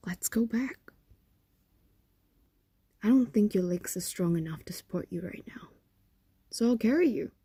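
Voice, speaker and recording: A woman's voice: medium pitch, mellow, slightly rough, no echo, full character voice. A woman voicing an ancient, possessive and doting fox demon in an intimate roleplay audio drama, coaxing and condescending, often slipping into whispers. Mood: stern, menacing.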